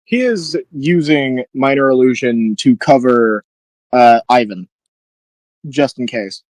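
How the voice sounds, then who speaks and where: A man with a medium-pitched voice, recorded dry without room sound. He talks casually as a player in a tabletop role-playing game. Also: takes it slowly, darkish tone, no room sound.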